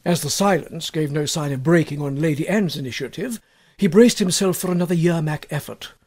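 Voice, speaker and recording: A man with a fairly high-pitched voice reads a short story aloud as a scripted narration. His delivery is measured and expressive, in the manner of an audiobook storyteller. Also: slightly rough.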